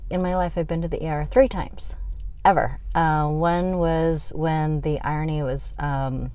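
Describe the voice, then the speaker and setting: A woman's voice: low voice, steady tone, unhurried, warm tone, no echo. Exasperated woman venting, dry sound. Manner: exasperated, venting, rambling with fillers. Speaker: health vlogger. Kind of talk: frustrated personal update.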